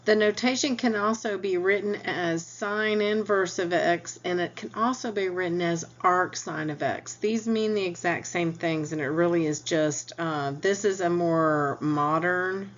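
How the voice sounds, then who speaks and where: Slow, lower-voiced math teacher, stuffy nose. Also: female voice. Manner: patient, explains step by step. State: stuffy nose, clearing throat. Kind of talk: recorded lecture. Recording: dull lo-fi audio with faint hum.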